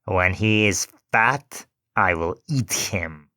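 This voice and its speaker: A man voicing a cruel, mocking old witch in a storybook reading, slow and muttering. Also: sits low, expressive, sharp tone, no room sound, studio quality, exaggerated voice. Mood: menacing.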